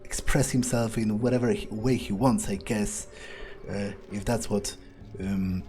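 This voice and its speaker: A man voicing a proud, power-hungry vampire in an improvised game playthrough, musing haltingly and swearing as he goes. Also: fairly low pitch, fairly even intonation, dark tone, slight background noise, lightly acted. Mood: wryly musing. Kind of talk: improvised in-character gameplay monologue.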